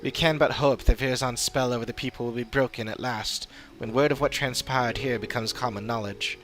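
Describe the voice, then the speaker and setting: A man voicing an earnest, formal fantasy adventurer in video game dialogue, with measured and serious delivery. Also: mid-range voice, fairly even intonation, close to an everyday voice. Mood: grave, hopeful.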